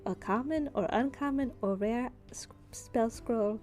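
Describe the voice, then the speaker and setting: A woman's voice: on the high side, a little slow, warm tone. A woman acting as game master, casually narrating a tabletop game and explaining its rules. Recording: music behind the voice. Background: background music.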